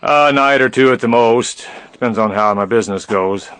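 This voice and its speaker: A man's voice: medium pitch, fairly bright tone, clear, resonant tone, close to an everyday voice. A man playing a laconic Texas Ranger. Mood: easygoing. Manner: plain, laconic, measured. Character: steady Texas Ranger hero, terse and wary. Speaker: lead actor. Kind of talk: radio drama acting.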